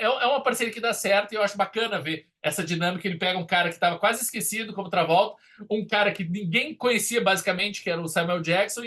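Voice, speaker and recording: A man's voice: sits high, a bit bright. A man with a Brazilian accent co-hosting a film podcast, talking casually in an enthusiastic, rambling way.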